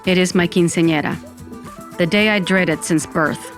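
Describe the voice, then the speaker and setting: A woman's voice: on the low side, steady tone, moderate pace, lightly acted. A woman playing a sardonic undead woman, narrating an audio fiction in the first person. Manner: wry, dramatic, peppered with Spanish exclamations. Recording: music bed under the voice.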